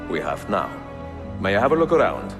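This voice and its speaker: An actor playing a suave, confident older Italian adventurer in a game cutscene, with an Italian accent and measured delivery. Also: male voice, lowish voice, big pitch swings, in a large hall. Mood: charming. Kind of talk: dramatic video game cutscene acting. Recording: music behind the voice.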